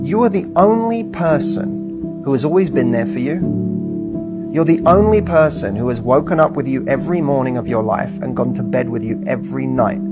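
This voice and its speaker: A man giving a motivational speech in an earnest, emphatic way. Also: a little high. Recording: speech over loud guitar music.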